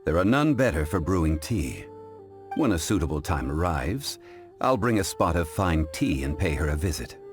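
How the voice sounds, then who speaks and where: A composed, learned gentleman from a video game. His voice is very low, formal and measured, yet richly inflected as he speaks with scholarly poise over music. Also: big pitch swings. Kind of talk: video game cutscene dialogue. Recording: music behind the voice.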